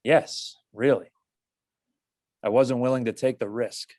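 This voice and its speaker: A man playing a gloomy, joyless donkey in a stage script reading, with a deadpan, morose manner. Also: medium pitch, a little slow, dry recording, clean studio sound, natural voice.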